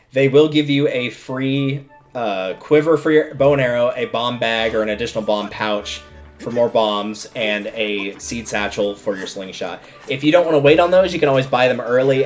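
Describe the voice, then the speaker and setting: A man giving casual, rambling livestream commentary on a video game, often stopping to explain things. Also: mid-range voice.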